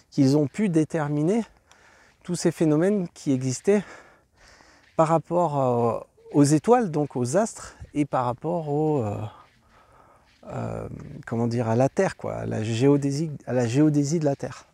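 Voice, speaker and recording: A stuffy-nosed man narrates his walk around an outdoor site, his voice dark and mellow and a little raised. He wanders through his thoughts, stalling on fillers and restarting sentences, and the sound is dry and close. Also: fairly high pitch, no room sound. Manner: rambling, hesitant, many fillers and restarts. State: congested from a cold. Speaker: travel vlog host. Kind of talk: walking tour commentary.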